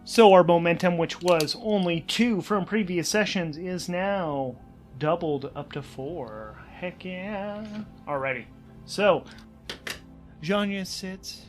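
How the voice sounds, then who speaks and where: A man's voice: high-pitched, takes it slowly, faint music behind. A man narrating and commenting as host and player of a solo tabletop role-playing game, conversational and enthusiastic.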